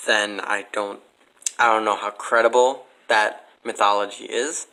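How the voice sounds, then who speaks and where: Man, lowish pitch, sharp bright tone, blunt and skeptical, casual interview guest. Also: steady tone, a little slow, poor audio. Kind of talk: casual chat.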